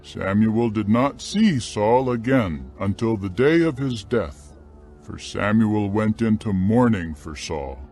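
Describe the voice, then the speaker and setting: A man narrating dramatized scripture in a solemn, measured manner. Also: on the low side, animated, a little mellow.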